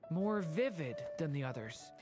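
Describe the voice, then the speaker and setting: A woman playing a gentle, earnest boyish young character in a video game. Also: sits low, animated, normal speed, over music. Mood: thoughtful.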